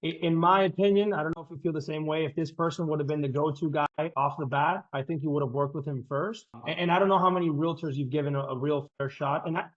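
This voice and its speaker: Male real estate agent on a phone pitch, persuasive and fast, slightly high voice, dull audio. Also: fairly even intonation. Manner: persuasive, confident, repeats words as he starts sentences. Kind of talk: sales phone call.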